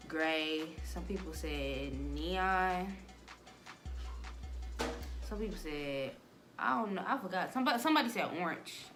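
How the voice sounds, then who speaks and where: A woman's voice: fairly low pitch, fairly bright tone. Casual young American woman over music. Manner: loose, slangy, rambling. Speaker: vlogger giving a wig-making tutorial. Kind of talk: casual chat to camera.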